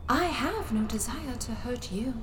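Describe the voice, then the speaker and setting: A woman playing a calm alien sentient in an audio drama, speaking in a formal, measured, solemn way. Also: a little high, noisy background. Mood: solemn, explanatory. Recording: long reverb, distant, music behind.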